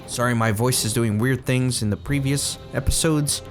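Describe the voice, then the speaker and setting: A man's voice: mid-range voice, steady tone, normal speed, soft background music. Chatty man getting over a cold. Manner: chatty, rambling, self-correcting. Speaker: let's play gamer. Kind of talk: casual gameplay commentary.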